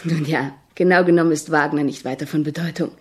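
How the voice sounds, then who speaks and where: An actress playing a persistent, highbrow woman music journalist with formal, wordy questioning in a radio play. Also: fairly even intonation, fast, natural voice. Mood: probing.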